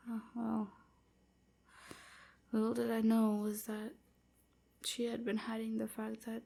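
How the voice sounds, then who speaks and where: Woman as a female vampire slave, mellow and creaky, soft breathy confiding, melancholy, hesitant, intimate audio roleplay. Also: mid-range voice. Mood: somber, reminiscing.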